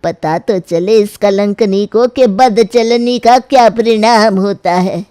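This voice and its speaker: A woman's voice: medium pitch, takes it slowly, warm tone, clean tone, close to an everyday voice. A woman playing a cruel, domineering village in-law in a melodramatic audio story, accusing and commanding with open scorn. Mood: scornful, commanding.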